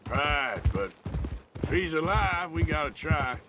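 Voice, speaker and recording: A man playing a gruff, stubborn but loyal outlaw gunslinger in a Western video game, with an American accent and a blunt, drawling manner. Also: fairly high pitch, lively intonation, slow pace, noisy background. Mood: matter-of-fact. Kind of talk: video game dialogue acting.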